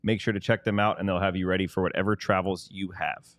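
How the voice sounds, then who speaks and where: Man, fairly deep, dry sound, casual podcast ad reader, ad-libbing. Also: little pitch movement, fast, no echo. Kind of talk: casual ad read with ad-libs.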